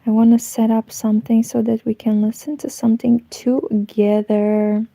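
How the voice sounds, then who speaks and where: A woman's voice: a little high, flat delivery, moderate pace, vocal fry, dark tone. A woman from Moldova with a Moldovan Romanian accent, a native Romanian speaker, chatting incredulously as she reacts to a video. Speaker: knitting vlogger. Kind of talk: reacting to a video, casual chat.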